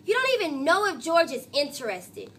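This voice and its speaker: Storybook role: woman as an earnest, tentative young boy, high and bright, fair-minded. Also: very animated, normal speed, recorded from a distance, full character voice. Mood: hesitant, concerned.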